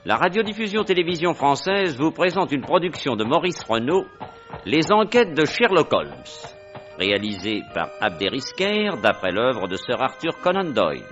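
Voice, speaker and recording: A man announces a vintage radio production in a solemn, declamatory tone, his voice slightly high and lively. Music plays loudly behind him in a dull, lo-fi recording. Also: moderate pace. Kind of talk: formal broadcast announcement.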